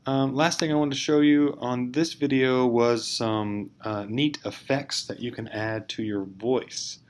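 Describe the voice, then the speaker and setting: A man's voice: medium pitch, steady tone, measured pace. A man narrating a casual instructional screencast, explaining step by step in an informal, hesitant way. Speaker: teacher giving software tutorial. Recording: clear background noise.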